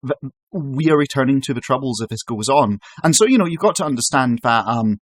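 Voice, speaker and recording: A male podcast host speaks in an ordinary mid-pitched voice. His exasperated, swearing delivery keeps breaking into restarts. The recording is very dry and close, with no room sound. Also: lively intonation, a little fast, no room sound. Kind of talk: casual podcast chat.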